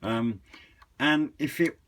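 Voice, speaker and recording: A man giving a casual, unscripted product walkthrough in a hesitant, rambling, matter-of-fact way, with a slightly husky voice. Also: medium pitch, slow, fairly bright tone. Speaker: hobbyist reviewer and seller of used CB radios.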